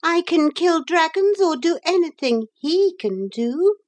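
A woman's voice: extremely high pitch, animated, measured pace, darkish tone, voice pushed up. A woman voicing a haughty, defiant storybook lady with a thin, disagreeable voice. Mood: defiant, indignant. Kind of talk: storybook character voice.

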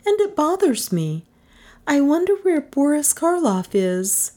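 A woman voicing an impish, sharp-tongued young woman who is easily alarmed, in audiobook dialogue with a teasing, exclamatory manner. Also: high voice, sing-song, measured pace, voice pushed up.

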